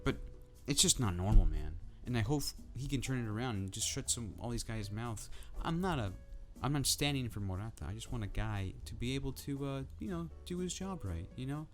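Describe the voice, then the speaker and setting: A man ranting casually to camera about football in a soft, calm, drowsy way. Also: medium pitch, fairly quick, hardly any pauses, faint music behind. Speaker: football vlogger.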